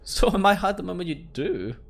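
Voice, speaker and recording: A man's voice: sits high, lively intonation, fairly quick, creaky, breathy edge. A man hosting a let's play, reading game text aloud and reacting in casual slang to his viewers. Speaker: gaming video host. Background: music.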